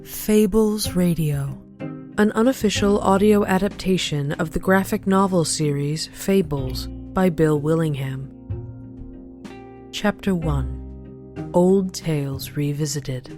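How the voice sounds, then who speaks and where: A woman's voice: sits low, takes it slowly, darkish tone, soft background music. A woman announcer reading a scripted title introduction for an audio drama.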